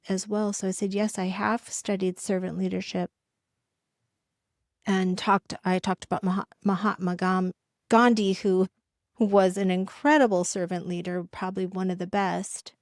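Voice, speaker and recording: Middle-aged woman, coach and host, casual and halting, dry close sound. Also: mid-range voice, gravelly, darkish tone, no room sound. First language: English. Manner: halting, with frequent restarts and fillers. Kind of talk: casual talk to camera.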